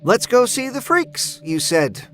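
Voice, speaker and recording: A man's voice: very high voice, very animated, unhurried, fairly bright tone, soft background music, voice pushed up, exaggerated voice. A man voicing an annoyed young woman in a horror audiobook, sarcastic, harsh and accusing. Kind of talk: horror audiobook character dialogue.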